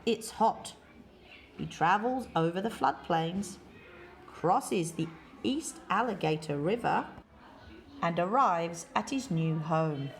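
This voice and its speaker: A woman reading a children's picture book aloud in deliberate, measured phrases with expressive emphasis. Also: fairly low pitch, slight background noise. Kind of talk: read-aloud children's story.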